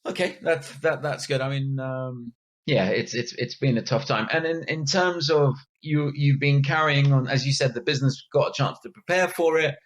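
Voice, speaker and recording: A man's voice: mid-range voice, normal speed. Podcast host, man, casual conversational questioning with stumbles. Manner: conversational, repeats words while forming questions. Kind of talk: casual interview.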